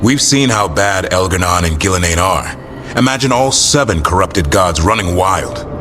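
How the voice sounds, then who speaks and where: Deep, blunt warrior. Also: male voice, lively intonation, moderate pace, bright, forceful tone, soft background music. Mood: grave. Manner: blunt, matter-of-fact.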